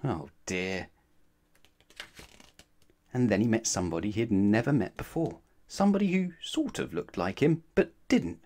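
Animated male children's storybook narrator. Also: fairly low pitch, moderate pace, pauses often. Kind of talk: expressive children's read-aloud.

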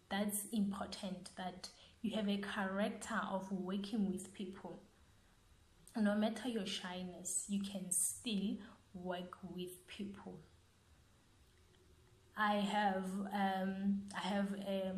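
Slow-spoken young South African woman. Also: mid-range voice, a little mellow. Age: young adult. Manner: earnest, deliberate, repeats and rephrases phrases. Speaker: interviewed young entrepreneur, business owner. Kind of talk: interview monologue with motivational advice. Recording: echoey, roomy sound.

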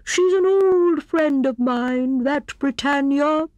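A woman putting on a hooting, slow old owl. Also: high voice, darkish tone, no echo, dull audio, pitched above the performer's own voice. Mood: kindly, stately. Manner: drawn-out, hooting, stately. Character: sleepy, kindly old male owl, wise.

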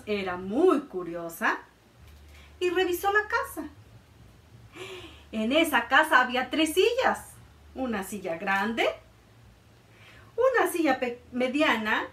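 Lively, high-voiced storytelling woman. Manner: expressive, slow, sing-song storytelling. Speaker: children's librarian storyteller. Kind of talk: reading a picture book to children. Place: indoor room by a felt board. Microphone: camera a few feet away. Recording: roomy sound from a distance.

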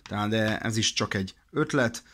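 A man's voice: fairly low pitch, fairly bright tone. A man explaining products in an informal instructional way, practical and a little hesitant in his delivery. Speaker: product demonstrator for a radio shop.

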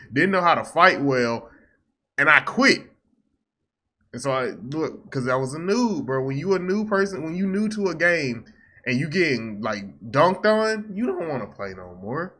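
Animated, bright-voiced young American man. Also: mid-range voice. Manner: slangy, animated, repeats phrases. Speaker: reaction video host. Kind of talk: casual reaction commentary. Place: small room at home.

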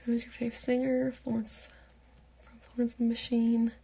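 Soft-spoken young woman, half whispering. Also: on the high side, completely flat delivery, normal speed, gravelly, husky. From Pittsburgh, United States. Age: late teens. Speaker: livestream host answering fan comments. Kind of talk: casual live Q&A chat. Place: bedroom at night, indoors. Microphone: phone held at arm's length.

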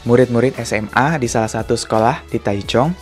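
A young man from Indonesia, a student vlogger, explaining things in a quick, chatty way into a handheld mic. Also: medium pitch. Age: young adult. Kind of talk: casual vlog narration. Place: indoor office, then outdoors on a street. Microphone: handheld mic with fluffy windscreen. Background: background music.

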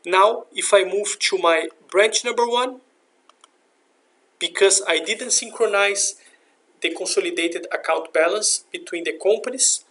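A man narrating a software demonstration in a step-by-step, instructional way. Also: high voice, unhurried. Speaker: software demo presenter. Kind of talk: scripted software tutorial narration. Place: screen recording voice-over.

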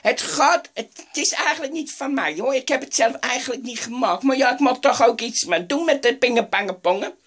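A woman's voice: fairly high pitch, brisk, hardly any pauses, a bit bright. A woman playing a scatterbrained elderly woman who hosts a microwave cooking show, rambling and forgetful with many sighed exclamations. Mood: doddering. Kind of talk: comedic improvised sketch.

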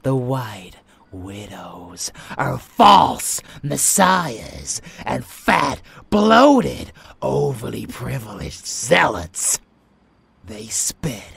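A man voicing an unhinged, seductive, bloodthirsty vampire in a roleplay audio, theatrical and taunting, with a raspy, breathy voice that often drops into whispers. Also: mid-range voice, a bit bright, full character voice. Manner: theatrical, slow, sneering, taunting. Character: unhinged rebellious male vampire, seductive, zealous, bloodthirsty lover. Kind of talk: roleplay ASMR dramatic monologue.

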